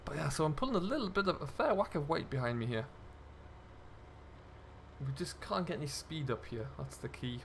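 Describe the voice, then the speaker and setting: A man's voice: a little high, animated, brisk, vocal fry, pauses often, a little hiss or hum. A man hosting a gaming video, giving casual, chatty role-play commentary and talking himself through the driving as he plays.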